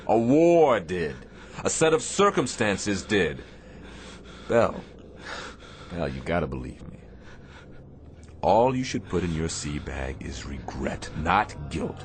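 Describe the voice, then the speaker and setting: An actor playing a firm, compassionate but weary Navy captain in a radio drama, speaking with deliberate, commanding emphasis. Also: male voice, low-pitched, sing-song, heavily acted. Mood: urgent persuasion. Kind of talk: dramatic radio theatre acting. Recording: faint background noise.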